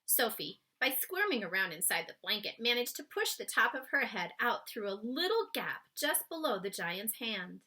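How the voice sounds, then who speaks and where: Woman, children's read-aloud, crisp bright tone, highly animated, dramatic emphasis, suspenseful storytelling. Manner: expressive, suspenseful, with emphatic drawn-out words. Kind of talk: dramatic storybook reading with asides to young listeners.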